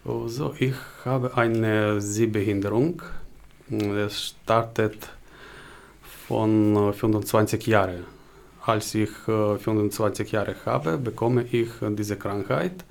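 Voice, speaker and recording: A man in his forties with a Ukrainian accent answers interview questions. His voice sits slightly low, and he talks very slowly. He hesitates often, feeling for words in a language he learned later. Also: fairly even intonation, vocal fry. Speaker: interview guest, job seeker. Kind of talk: interview answers in halting second-language German.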